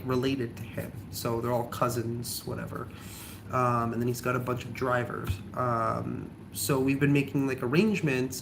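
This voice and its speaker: A man's voice: medium pitch, a little hiss or hum. A young man with a cold and a slightly nasal voice, chatting casually in a vlog, rambling with lots of ums and likes. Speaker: travel vlogger.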